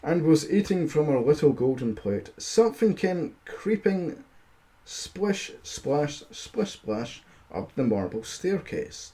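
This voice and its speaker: A man's voice: a little high, big pitch swings, slow, mellow. A man hosting a live fairy-tale reading, self-deprecating, stumbling over words and breaking into crude casual asides. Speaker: storytelling livestream host.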